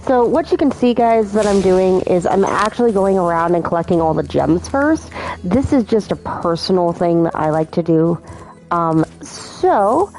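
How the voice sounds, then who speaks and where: A woman's voice: on the low side, expressive, normal speed, darkish tone, soft background music, rough recording. A woman in her thirties hosting a casual let's play, chatty and apologetic, her voice a little off from being sick. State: slightly off voice from illness. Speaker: gaming channel host.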